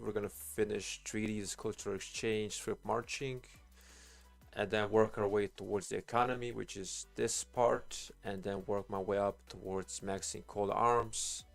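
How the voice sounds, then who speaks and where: A man's voice: fairly low pitch, creaky. A man giving casual, rambling game commentary as a live streamer, thinking aloud in informal language. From Bosnia and Herzegovina.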